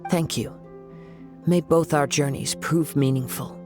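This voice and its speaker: An actor voicing a calm, poetic young wandering samurai in a video game, speaking in a measured, reflective way. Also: male voice, on the high side. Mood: composed. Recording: game music behind.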